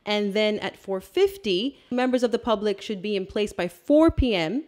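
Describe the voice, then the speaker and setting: A woman's voice: medium pitch, animated, normal speed, a little mellow. A woman in her thirties, not Australian-born, co-hosting a casual royal news podcast, chatty and emphatic as she reads from her notes, on a studio mic in a small room. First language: not Australian by birth. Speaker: podcast co-host. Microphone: studio mic on stand.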